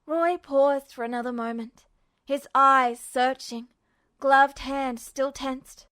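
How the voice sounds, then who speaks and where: Woman, high voice, expressive storyteller, scripted fiction narration, dramatic delivery. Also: takes it slowly. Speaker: amateur audiobook narrator.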